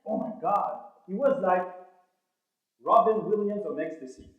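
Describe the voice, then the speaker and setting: French canadian guy doing motivational keynote, high voice, acts out his stories, super echoey hall. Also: unhurried, dull audio. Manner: animated storytelling, acting out dialogue. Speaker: motivational speaker. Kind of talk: motivational keynote speech to an audience. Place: large room, speaking to a live audience.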